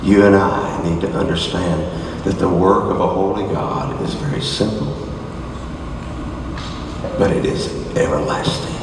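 An older man preaching a sermon, dropping to hushed low lines and rising to shouted high peaks as he moves around a large stage. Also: fairly even intonation, a little slow, long pauses, background noise. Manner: moves about the stage gesturing while preaching. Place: large dark auditorium stage. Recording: echoey hall from far off.

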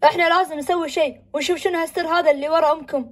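A woman playing a stern, worried father in a homemade family skit, quick and exasperated. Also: very high voice, steady tone. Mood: alarmed.

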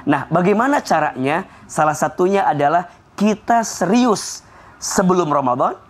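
Energetic man preaching on a TV set. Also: on the high side. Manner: energetic, emphatic, enumerating points. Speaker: Islamic preacher on a TV show. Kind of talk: television sermon. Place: TV studio set.

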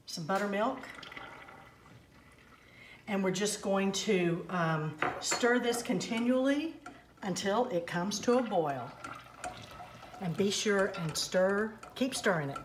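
A woman's voice: mid-range voice, lively intonation, slow, pauses often. An older woman hosting a home cooking segment, talking viewers through a cake recipe step by step in a homey, instructive way, recorded in her kitchen. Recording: echoey kitchen with faint noise. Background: clinking pots, bowls and utensils.

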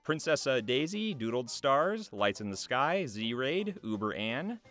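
A man's voice: mid-range voice, big pitch swings, unhurried, fairly bright tone. A young adult man, a voice actor, speaking casually and earnestly to viewers in a slightly hesitant way. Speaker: voice actor and video creator. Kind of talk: casual thank-you address to camera, loosely scripted. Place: small foam-treated recording booth. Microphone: large studio microphone close by. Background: music.

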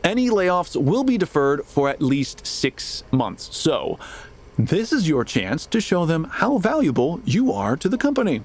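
An actor playing a condescending corporate manager in an audio drama, with formal, smooth-talking phrasing. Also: male voice, a little high, wildly swooping pitch, measured pace, a little mellow, exaggerated voice. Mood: self-satisfied.

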